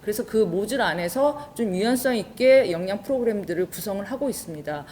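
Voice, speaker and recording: A woman's voice: mid-range voice, expressive, fairly quick, a little mellow. A woman, a research professor, giving a formal, explanatory presentation of a university programme. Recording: faint background noise and ambience.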